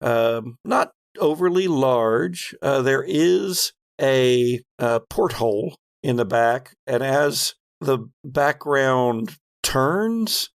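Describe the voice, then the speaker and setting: Man, game master narration, deliberate and halting, gravelly creak, unhurried pace. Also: medium pitch, lively intonation. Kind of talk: narrating a tabletop roleplaying game.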